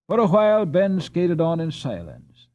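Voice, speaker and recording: Male audiobook narrator for classic children's fiction: expressive and deliberate, warm dark tone, a little lo-fi. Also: on the high side. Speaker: audiobook reader. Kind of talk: scripted storybook narration.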